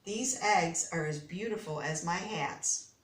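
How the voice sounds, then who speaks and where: A man's voice: sits high, a little slow, reverberant, recorded from a distance. A woman reading aloud as a kindly, thoughtful old immigrant man who keeps a hat shop, in a storybook. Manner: thoughtful, soft-spoken.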